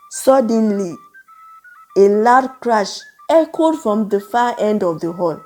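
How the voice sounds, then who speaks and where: A woman narrating a scripted folktale in a measured, suspenseful, dramatic way. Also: on the high side, dark tone. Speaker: storyteller, narrator.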